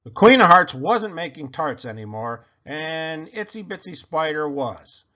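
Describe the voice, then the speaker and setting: Very animated man reading a children's storybook. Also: a little high, a little slow. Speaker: children's book reader. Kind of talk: expressive storybook read-aloud narration. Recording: dull lo-fi recording.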